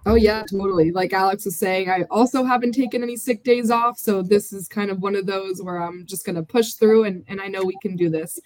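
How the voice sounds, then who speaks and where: An American woman, a little under the weather, chats easily as an interview guest. Her voice sounds dry and close, with no room around it. She is upbeat and talkative, at a middle pitch. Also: steady tone, fairly quick, few pauses, a little mellow, no echo, low-quality recording. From United States. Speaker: podcast guest. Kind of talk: casual interview talk.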